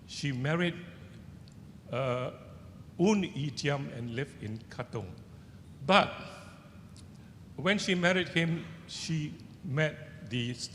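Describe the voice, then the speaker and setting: Older Singaporean man in an echoey hall. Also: fairly bright tone. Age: older, seventies or above. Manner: halting, searching for words. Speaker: author on a festival panel. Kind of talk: personal anecdotes and book reading to an audience. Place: large indoor event hall with seated audience. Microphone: handheld microphone. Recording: echoey hall, rumbling background noise.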